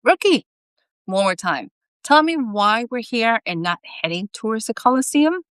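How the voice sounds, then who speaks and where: Storybook senior scientist, male read by a woman: teasing, lazy, condescending, lively swings in pitch. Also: moderate pace, no echo, studio quality. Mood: nagging.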